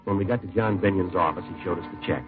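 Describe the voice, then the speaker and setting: An actor playing a terse, businesslike police detective in a 1950s radio crime drama, speaking in a clipped, deadpan way. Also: male voice, low voice, fast talker, close to an everyday voice. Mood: deadpan, factual. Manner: clipped, deadpan, matter-of-fact. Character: terse, businesslike male police sergeant detective narrating his case. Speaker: detective and narrator. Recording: tinny old radio recording, music behind.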